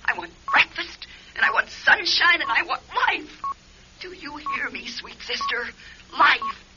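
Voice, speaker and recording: A woman's voice: high-pitched, animated, unhurried. Intense, bitter trapped woman, swooping voice. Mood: pleading, defiant. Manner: intense, sardonic inner monologue. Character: trapped, conscious female patient, desperate and bitterly sarcastic. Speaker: radio drama actor. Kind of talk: classic radio drama acting. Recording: muffled, noisy old radio recording.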